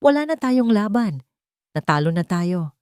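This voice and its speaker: A woman's voice: medium pitch, big pitch swings, a little slow, darkish tone, no room sound, clean studio sound, voice pushed up. A woman with a Filipino accent voicing an intense, devoted male CEO in an audio love story, earnest and dramatic. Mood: alarmed, despairing.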